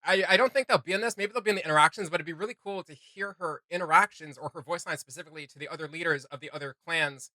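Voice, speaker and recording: A man's voice: fairly high pitch, rapid-fire, few pauses, sharp tone, dry recording. A man co-hosting a casual reaction video, rambling enthusiastically and often searching for his words. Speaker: co-host of a reaction channel.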